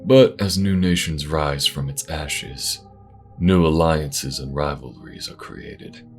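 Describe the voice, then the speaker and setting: A man's voice: very deep voice, takes it slowly, soft background music. A man delivering scripted, dramatic narration to introduce a science fiction audio story.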